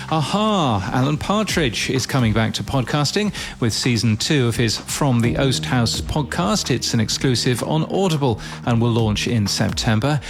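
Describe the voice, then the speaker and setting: A man presenting a scripted podcast news bulletin in crisp newsreader phrasing with wry touches. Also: medium pitch. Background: background music.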